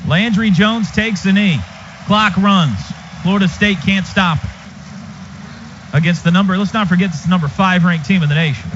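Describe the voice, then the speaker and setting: An American man doing live football play-by-play for radio, in an excitable, clipped running call of each play. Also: sits high, moderate pace. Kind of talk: live sports commentary. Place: stadium radio booth. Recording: noisy, dull stadium radio broadcast.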